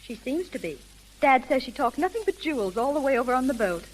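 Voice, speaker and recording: An actress in a 1930s radio drama playing a sweet young society daughter with a coy, probing way of talking. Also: female voice, high voice, big pitch swings, fast talker, poor audio. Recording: hissy, humming 1930s radio broadcast.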